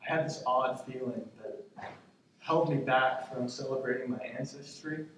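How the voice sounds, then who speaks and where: A man's voice: mid-range voice, fairly even intonation, measured pace, fairly bright tone, lightly acted. A man acting a tender grandson in his twenties in a stage monologue, confiding in his departed grandmother in a reflective, hesitant, conversational way. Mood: vulnerable, hesitant. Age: 20s. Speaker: stage actor in a student play. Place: indoor stage, recorded from afar. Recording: echoey, distant stage recording with noise.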